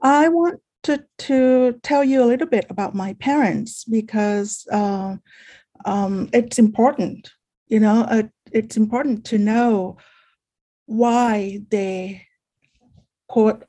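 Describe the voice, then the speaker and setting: A middle-aged woman from Thailand sharing a personal testimony at a support meeting in a halting, reflective way. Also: fairly high pitch, dark tone.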